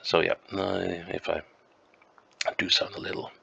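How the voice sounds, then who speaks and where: A man with a flu, sounding croaky and sniffly, thinking aloud slowly and hesitantly as he plays a map game at home. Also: low voice, level tone, bright, forceful tone, lo-fi sound. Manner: slow, musing, hesitant with many ums. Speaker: game streamer. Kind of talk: thinking-aloud gameplay commentary.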